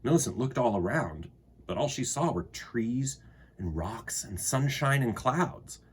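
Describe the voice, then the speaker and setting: A man reading a children's story aloud expressively, with deliberate phrasing and dramatic pauses. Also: mid-range voice, lively intonation, a little hiss or hum.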